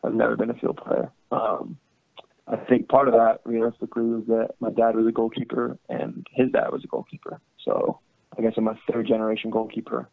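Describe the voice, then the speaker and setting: Gravelly young man, muffled dry audio. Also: medium pitch, monotone, brisk, dry recording. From France and California. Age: young adult. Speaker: professional soccer goalkeeper. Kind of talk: casual interview answers.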